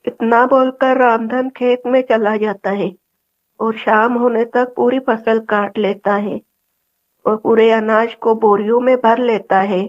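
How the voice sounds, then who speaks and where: Slow, high-pitched woman storyteller. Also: fairly even intonation, highs cut off. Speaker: story narrator. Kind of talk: scripted children's story narration.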